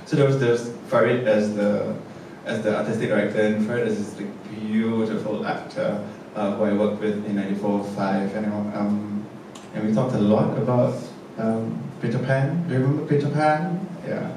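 A man's voice: lowish voice, fairly even intonation, normal speed, few pauses. A man, a theatre director speaking hesitantly and reflectively on a panel after a show, with many fillers and restarts. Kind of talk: post-show panel discussion. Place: large theatre stage. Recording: echoey theatre from a distance with noise.

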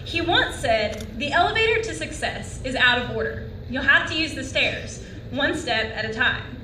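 A woman's voice: high voice, expressive, moderate pace, pushed and bright, slight background noise. A young woman delivering an earnest commencement speech from a lectern on an auditorium stage, asking the audience questions. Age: young adult. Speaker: graduation speaker. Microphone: lectern microphone. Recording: echoey hall from far off.

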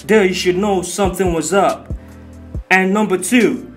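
A man hosts a movie commentary video in a hyped, informal and slangy way. His voice sits a little high, and music plays behind him. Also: measured pace. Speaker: video host.

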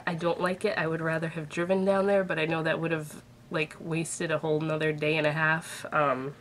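Woman, 30s, congested with a cold, rambling cheerful chat, lowish voice, faint hiss. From Massachusetts. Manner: rambling, chatty, upbeat. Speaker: vlogger. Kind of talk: casual chat to camera. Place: bedroom at home, indoors. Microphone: webcam at desk distance.

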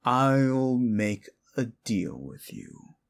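A man's voice: medium pitch, very animated, creaky, full character voice. A man voicing a condescending, seductive demon deal-maker in an audio roleplay, speaking in a theatrical, mocking drawl. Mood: sly bargaining. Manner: slow, condescending, theatrical drawl with amused chuckles. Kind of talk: scripted audio roleplay addressed to the listener.

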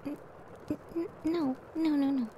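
A woman playing a gentle, affectionate cyclops giantess wife in an ASMR roleplay, speaking softly and soothingly close to the mic. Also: sits high, fairly even intonation, moderate pace, heavy background noise, close to an everyday voice. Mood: flustered, reassuring. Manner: soft, breathy, tender, soothing. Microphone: close ASMR microphone.

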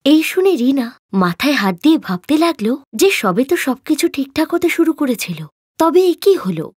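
A woman narrating an animated moral tale in a scripted storytelling style. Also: high-pitched, normal speed, no room sound, clean studio sound.

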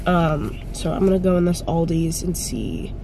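A woman's voice: fairly low pitch, lively intonation, moderate pace, background noise. A woman in her twenties from North Carolina, vlogging casually to a handheld camera and rambling with lots of ums and likes. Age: 20s. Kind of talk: casual vlog chat to camera. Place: parked car. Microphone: handheld camera or phone at arm's length.

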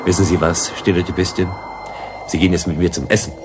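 A man's voice: low-pitched, level tone, fast, noisy background, close to an everyday voice. An actor playing a suave, probing male visitor who teases and flirts in a German science-fiction radio drama. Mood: charming, curious. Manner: teasing, questioning, ironic.

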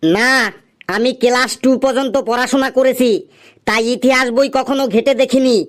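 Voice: sits high, steady tone, moderate pace, close to an everyday voice. An actor playing a grumpy, stubborn tea stall keeper in a comedy cartoon, scolding and insistent. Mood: wary, insistent.

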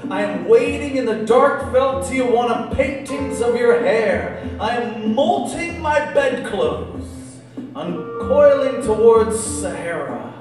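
A man's voice: sits high, distant mic. A man in his thirties, a poet performing live spoken word into a stage microphone in a small club. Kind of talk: live spoken word poetry reading with casual banter. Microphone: stage microphone on a stand. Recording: echoey live club PA, music behind.

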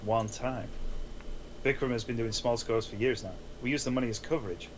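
A man's voice: medium pitch, fairly even intonation, a little fast, slight background noise, natural voice. A man playing an earnest, pleading schemer in a screenplay table read. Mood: persuasive. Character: earnest, pleading young schemer boyfriend, and a casual detective.

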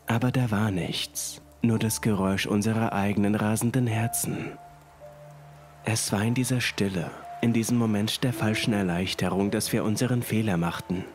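Guy reading creepypasta, lowish voice, slow suspense, music and rain underneath. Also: fairly even intonation. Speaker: horror story narrator. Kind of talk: scripted first-person horror narration.

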